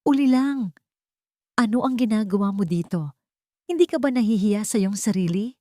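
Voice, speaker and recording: A woman's voice: medium pitch, lively intonation, moderate pace, mellow, no echo, clean studio sound, voice pushed up, natural voice. A woman with a Filipino accent voicing an angry, protective old grandmother who scolds indignantly in a narrated story.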